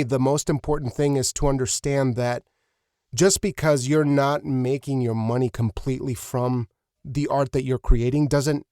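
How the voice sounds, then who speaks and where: A man hosting a conversational interview, speaking earnestly and haltingly, often repeating words as he thinks. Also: mid-range voice, dark tone, dry recording, clean studio sound. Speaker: podcast host.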